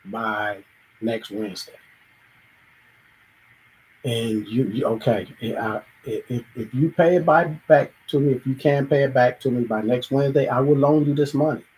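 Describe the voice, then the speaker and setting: A man's voice: medium pitch, a little mellow, poor audio. A man hosting a reflective solo monologue, thinking aloud and acting out little everyday dialogues. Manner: thoughtful, acts out everyday dialogues, sometimes restarts. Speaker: show host.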